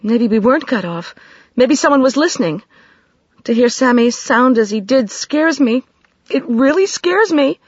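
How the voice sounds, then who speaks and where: A woman's voice: high voice, animated, moderate pace, pauses often, dry recording, pitched above the performer's own voice. A woman reading an audiobook, voicing an anxious young woman who blurts everything out in a rush, pleading and upset. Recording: dry, slightly dull studio recording.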